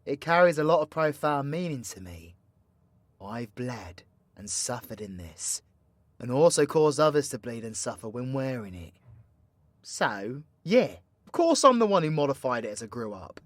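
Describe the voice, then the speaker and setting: A British man playing a cocky, foul-mouthed dark-magic warlock in an audio roleplay, with slangy, teasing, proud delivery. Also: medium pitch, no echo, natural voice. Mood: brooding, intense. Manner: cheeky slangy banter, swinging between hushed menace and loud scolding. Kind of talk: roleplay ASMR audio drama.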